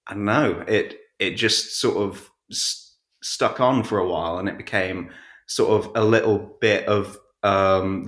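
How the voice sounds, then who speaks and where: Low-voiced man in an echoey room. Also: fairly bright tone. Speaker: podcast guest and audiobook narrator. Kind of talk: casual interview chat. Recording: echoey, roomy sound.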